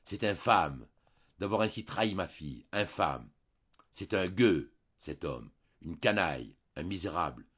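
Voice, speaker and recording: Man voicing an irate old nobleman, shouting, lo-fi. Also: low-pitched, animated, measured pace, breathy edge, exaggerated voice. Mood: outraged. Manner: outraged, shouting. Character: furious trembling old nobleman father.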